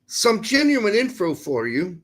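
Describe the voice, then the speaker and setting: A man's voice: high-pitched, wildly swooping pitch, a little slow, a bit bright. A man with an American accent chatting casually about sports as a livestream co-host, blunt and opinionated.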